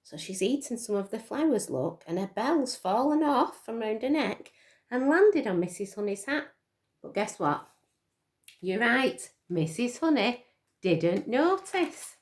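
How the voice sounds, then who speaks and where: A woman's voice: on the high side, sing-song, unhurried. A woman reading a picture book aloud to young children, expressively, with questions to her listeners. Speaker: storytime reader for children.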